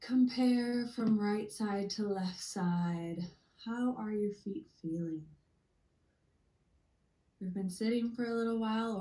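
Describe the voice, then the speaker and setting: Female meditation guide, mellow tone, slow soothing delivery with long pauses, echoey room. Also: mid-range voice. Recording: roomy, echoey sound.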